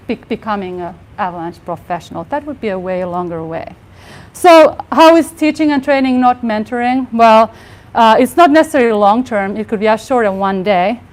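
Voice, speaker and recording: A soft-voiced woman in her forties giving a live workshop talk, earnest, with many fillers and restarts. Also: medium pitch. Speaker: workshop speaker. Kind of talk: conference talk. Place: live workshop room with audience.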